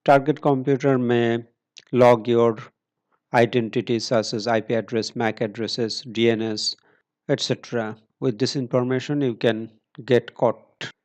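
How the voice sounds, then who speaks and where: Slow, steady man walking through a tutorial. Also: medium pitch. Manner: slow, step-by-step instructions with pauses and fillers. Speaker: tutorial presenter. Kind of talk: screen-recorded tech tutorial.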